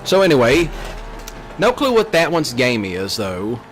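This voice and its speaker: Animated man ranting over engine noise. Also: mid-range voice, unhurried. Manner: folksy, teasing, mocks others in put-on quoted voices. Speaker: gaming channel host. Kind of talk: casual rambling rant over gameplay. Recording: clear background noise, car engine sounds. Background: vehicle sounds from a driving video game.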